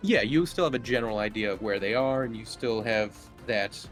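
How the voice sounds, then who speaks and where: A man's voice: lowish voice, fairly quick. A man speaking as game master, narrating and explaining play in an easygoing, informal way. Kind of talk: casual tabletop game narration. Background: game music.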